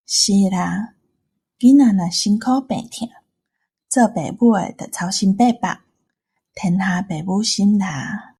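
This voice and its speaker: A woman co-hosts a story programme in conversational, scripted chat. She has a Taiwanese accent and a husky voice of medium pitch. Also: slow, mellow. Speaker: co-host.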